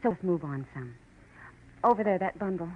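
An actress playing a cool, mysterious femme fatale in 1940s radio noir, clipped and dryly sarcastic. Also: female voice, on the low side, animated, brisk, narrow, tinny audio. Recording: noisy old radio recording with clicks.

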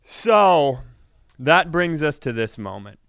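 A man hosting a comedy podcast, telling casual, joking, profanity-laced stories in an animated way. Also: on the high side, takes it slowly, gravelly, no echo, studio quality.

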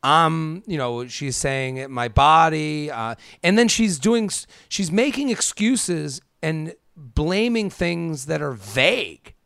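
A man in his thirties speaks in a fairly high voice with a lot of fry, recorded close and dry. He chats casually like an advice podcast host, firing off rhetorical questions and acting out imagined quotes. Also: lively intonation, slow, few pauses, fairly bright tone, dry recording. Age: 30s.

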